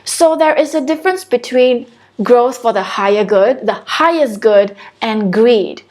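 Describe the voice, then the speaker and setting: Singaporean woman, spiritual coach doing a home live stream, chatty, fairly high voice. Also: animated, unhurried, darkish tone. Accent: Singaporean English. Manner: chatty, repeats and restarts phrases, talks directly to viewers. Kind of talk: casual motivational live stream. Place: her own home.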